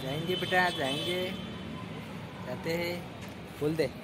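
A man's voice: on the high side, slow. A man in his thirties talking playfully with his young son in a casual family vlog, filmed on a handheld phone at home. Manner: playful, teasing, chatty. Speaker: vlogger and father. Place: small home room indoors. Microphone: phone held at arm's length. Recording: phone recording with clear background noise.

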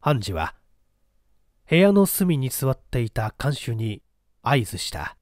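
Animated male story narrator, close and dry. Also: medium pitch, slow, no room sound. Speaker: audiobook reader. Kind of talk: scripted dramatic narration of a mystery story.

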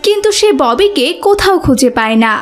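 A woman's voice: very high-pitched, sing-song, brisk, soft background music. A woman narrator reading a scripted children's cartoon story.

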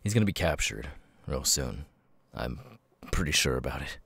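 A man voicing a nervous, awkward young man who stammers haltingly through verbal tics in an ASMR roleplay. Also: a bass voice, very animated, vocal fry, a bit bright, slightly rough, no room sound, full character voice. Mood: uneasy, reassuring.

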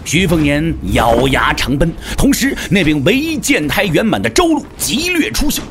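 A man's voice: a little high, big pitch swings, normal speed, fairly bright tone, a little hiss or hum. A man giving a dramatic scripted narration of a martial arts story.

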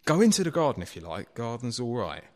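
An actor playing an anxious, deferential butler in a radio play, speaking hesitantly. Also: male voice, mid-range voice, big pitch swings, fast, close to an everyday voice. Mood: uneasy, deferential.

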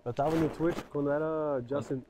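A man's voice: fairly high pitch, fairly even intonation, moderate pace, background noise. A man from Brazil who grew up in the US, a guest chatting casually and hesitantly, often searching for words outdoors. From Brazil, raised in the US. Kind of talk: casual chat. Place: outdoor driveway, seated on a couch.

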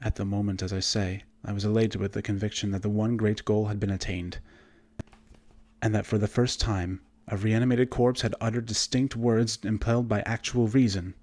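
Low-pitched male horror audiobook narrator. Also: fairly even intonation, a little mellow. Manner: measured, formal literary reading. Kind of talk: scripted narration of a horror story.

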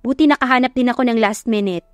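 A woman's voice: fairly high pitch, fast, clean tone, no echo, faint music behind, pitched above the performer's own voice, lightly acted. A woman voicing a concerned, reassuring female event manager in a romance audio story. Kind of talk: acted dialogue in Tagalog romance audio story.

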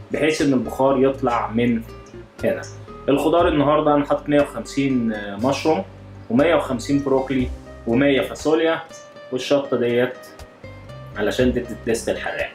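A man's voice: medium pitch, darkish tone, echoey room. A man with an Egyptian Arabic accent hosting a casual cooking demonstration in a home kitchen, explaining each step as he cooks. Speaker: cooking show host.

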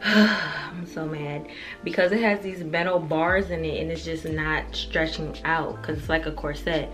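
Slangy young woman, low-pitched, music behind. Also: fairly even intonation, a little slow, a bit bright. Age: young adult. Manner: slangy, candid, swearing. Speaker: fashion vlogger. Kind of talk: casual chatty try-on haul. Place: carpeted bedroom indoors.

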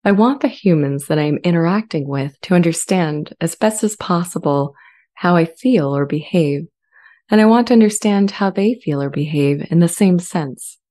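A woman playing an earnest, gentle artificial intelligence in a dramatized transcript, speaking in a measured, deliberate way. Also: fairly low pitch, expressive, close to an everyday voice. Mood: earnest, calm. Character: earnest, gentle female-voiced sentient AI language model. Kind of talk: scripted dramatized transcript reading. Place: indoor home studio. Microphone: large studio condenser with pop filter, close.

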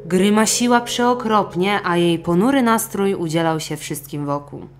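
A woman's voice: lowish voice, unhurried, faint music behind. A woman narrating a scripted children's fairy tale audiobook expressively. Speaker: storyteller.

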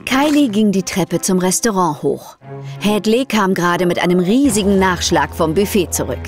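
A woman's voice: medium pitch, lively intonation, moderate pace. A woman narrating a children's audio story in a scripted storybook style. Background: music under the voice.

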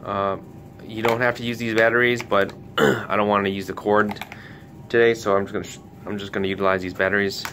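Man, low voice, casual how-to host, step-by-step and cautioning, noticeable rumbly background noise. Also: little pitch movement, fairly quick, fairly bright tone. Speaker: tutorial host for a retailer. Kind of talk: casual hands-on product tutorial.

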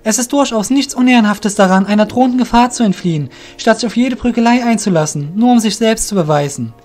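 Man voicing a high-pitched, earnest young rogue. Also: quick pace, darkish tone, soft background music, lightly acted. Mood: stern, lecturing. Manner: firm, friendly, principled, forthright. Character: earnest, proud young male rogue, a magic novice. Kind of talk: amateur fantasy audio drama acting.

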